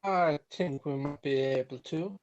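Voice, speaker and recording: In a dull, muffled recording, a man plays a mild family father in a radio play. He speaks slowly and hesitantly, in a slightly high male voice. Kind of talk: amateur radio drama acting. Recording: dull lo-fi sound.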